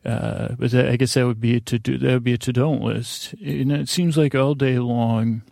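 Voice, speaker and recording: A man hosting a bedtime podcast in a meandering, halting monologue, often stammering and interrupting himself in a self-deprecating way. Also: medium pitch, little pitch movement, vocal fry, warm tone, dry recording, studio quality. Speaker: podcast host.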